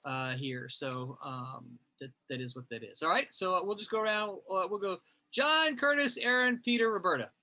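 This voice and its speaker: A man's voice: sits high, normal speed, hardly any pauses, pushed and bright. A man hosting a casual livestream, chatty and reading out comments from viewers. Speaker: host, comics writer.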